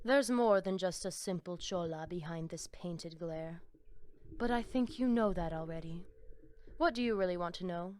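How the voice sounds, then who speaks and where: A woman's voice: on the low side, normal speed, darkish tone, lightly acted. A woman playing a proud, fanatical vampire cultist in a video game, speaking in solemn, cryptic, grandiose phrases. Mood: mystical, proud. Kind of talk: video game character dialogue.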